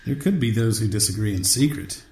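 Low, echoey, wry male confidant, acted by a man. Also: fairly quick, darkish tone, natural voice. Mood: earnest, cautioning. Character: wry, loyal male confidant, cautious voice of reason. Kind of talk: dramatic radio drama acting. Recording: echoey, faint background noise.

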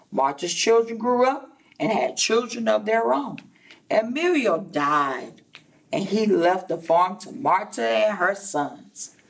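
Slow, lively woman reading a storybook. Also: mid-range voice, far from the mic. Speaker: preschool teacher reading a storybook aloud. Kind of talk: expressive children's read-aloud with asides to listeners. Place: indoor classroom.

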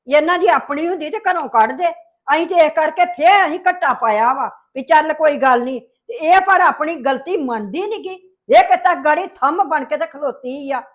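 A woman playing a pleading high-voiced village mother. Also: lightly acted. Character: pleading village mother, soft-hearted and worried. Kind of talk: family drama acting.

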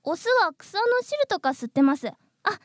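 A woman's voice: very high voice, very animated, fairly quick, dry recording, clean studio sound. A woman voicing a polite, insistent, earnest mosquito in human form in a radio comedy drama. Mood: pushy.

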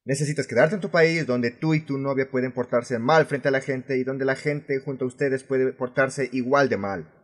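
A man's voice: medium pitch, normal speed, close to an everyday voice. A male voice actor plays a furious expat vlogger with a Latin American Spanish accent and a mid-range male voice. He is confrontational and sarcastic, swearing freely and leaning hard on his words in a fan-dubbed rant. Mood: angry, scornful. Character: furious, foul-mouthed male expat vlogger ranting about rude tourists.

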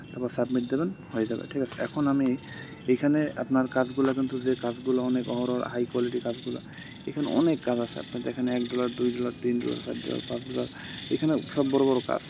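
A man, casually walking through a phone screen recording as a tutorial host, rambling and repeating himself between steps. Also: mid-range voice. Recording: dull lo-fi phone recording with steady insect-like background noise.

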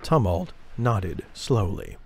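A man's voice: deep voice, big pitch swings, slow, gravelly, husky, no room sound. A man narrating a scripted fantasy audio drama in a dramatic storytelling style. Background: music.

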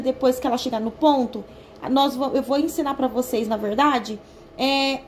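A woman's voice: high-pitched, a little mellow. A Brazilian woman talking through a recipe in her home kitchen, explaining each step and checking in with her viewers. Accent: Brazilian Portuguese. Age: young adult. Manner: chatty, explains step by step with frequent "tá?" check-ins. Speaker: home cooking vlogger. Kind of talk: casual cooking tutorial.

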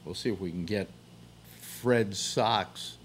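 An older man hosting a casual call-in chat, halting and teasing, often repeating himself. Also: fairly low pitch, a little hiss or hum. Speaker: talk show host. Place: home room with bookshelves, indoors.

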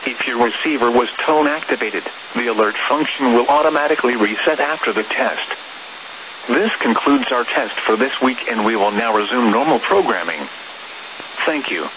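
A man reading a scripted emergency alert as a weather radio announcer, formal, measured and impersonal, over the radio. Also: mid-range voice. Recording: noisy weather radio broadcast.

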